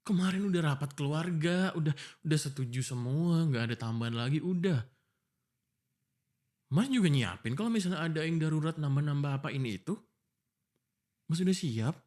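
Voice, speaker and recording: A man's voice: on the high side, lively intonation, moderate pace, pauses often, a little mellow, lightly acted. A man acting an exasperated, protective young fiancé in a boyfriend ASMR roleplay, pleading and scolding informally. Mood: weary, frustrated. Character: exasperated, protective young fiancé arguing before his wedding. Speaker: voice actor. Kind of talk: boyfriend ASMR roleplay, one-sided dialogue.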